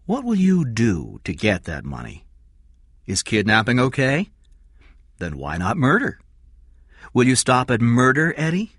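Man voicing an urgent, hushed, determined young woman. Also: mid-range voice, huge pitch swings, unhurried, long pauses, no echo, clean studio sound, pitched above the performer's own voice, exaggerated voice. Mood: insistent. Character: anxious, determined young woman, protective and insistent.

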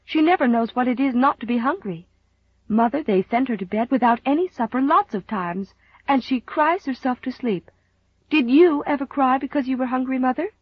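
A woman's voice: medium pitch, normal speed, breathy edge, no echo, dull audio, close to an everyday voice. A woman voicing an earnest, dramatic, indignant young girl with a gushing, emphatic manner, in a storybook reading. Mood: indignant, pleading.

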